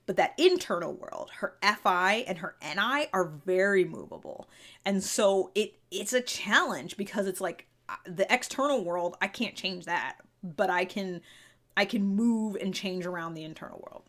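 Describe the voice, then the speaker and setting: A woman's voice: mid-range voice, animated, normal speed, a bit bright. A woman hosting a podcast, talking through personality theory in a casual, chatty way full of fillers and restarts. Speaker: podcast host. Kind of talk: casual explanatory monologue.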